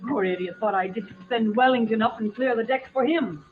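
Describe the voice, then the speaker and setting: A woman's voice: fairly high pitch, brisk, noisy background, natural voice. Female actor, seductive schemer, melodramatic radio noir, thin narrow-band vintage sound. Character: scheming, seductive femme fatale. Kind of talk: melodramatic 1940s radio acting. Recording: tinny old radio recording.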